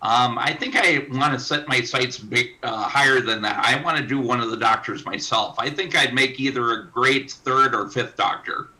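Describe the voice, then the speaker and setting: Very bright-voiced, halting man. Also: mid-range voice, poor audio. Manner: hesitant, halting phrasing. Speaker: panel guest. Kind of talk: casual chat.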